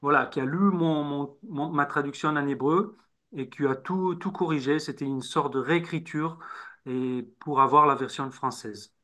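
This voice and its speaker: Halting man. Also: on the high side, fairly bright tone. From Israel. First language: Hebrew. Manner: halting, repeats words while searching. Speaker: novelist guest. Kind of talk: interview answers.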